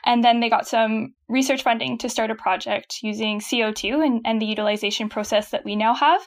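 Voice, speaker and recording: A woman with a clear Canadian accent talks through her company's technology as a podcast guest. She explains things in a friendly way and laughs easily. Her voice sits a little higher than most women's. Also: fairly even intonation, a little fast. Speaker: guest, company chief of staff. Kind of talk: conversational interview.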